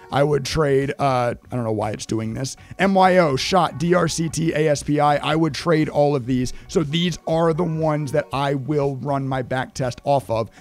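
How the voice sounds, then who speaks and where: A man in his thirties giving a screen-share trading lesson, talking emphatically while losing his voice. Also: on the high side. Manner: energetic, emphatic, repeats "right?". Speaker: trading educator.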